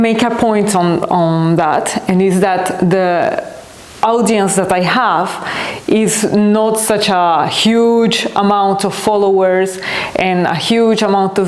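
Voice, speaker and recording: A woman speaks in a middle-pitched voice, earnest and reflective, pausing now and then to find the right word. Her talk has the loose flow of a podcast host answering listener questions. The room around her leaves a clear, roomy echo. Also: darkish tone. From Colombia. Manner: earnest and reflective, searching for words. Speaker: podcast host and company founder.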